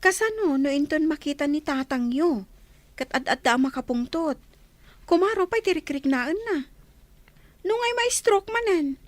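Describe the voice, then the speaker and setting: A woman's voice: high voice, lively intonation, moderate pace, long pauses, no room sound. A woman playing a sympathetic, cautious neighbour in a radio drama, inquiring with concern. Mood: cautioning.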